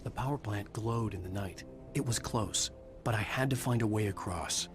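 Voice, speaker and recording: Terse, serious man acting over loud game music. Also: on the low side, normal speed, close to an everyday voice. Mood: brooding. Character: driven, wry male writer caught in a nightmare. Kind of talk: video game voice acting with scripted narration.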